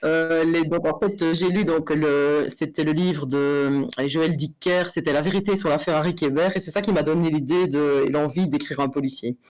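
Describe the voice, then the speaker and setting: A woman's voice: low voice, steady tone, moderate pace, hardly any pauses, a little mellow, rough recording. A Belgian woman with a Belgian French accent being interviewed about her writing, talking casually with lots of fillers, restarts and laughs. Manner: hesitant, many fillers and restarts; laughs often, light and chatty. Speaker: interviewed writer.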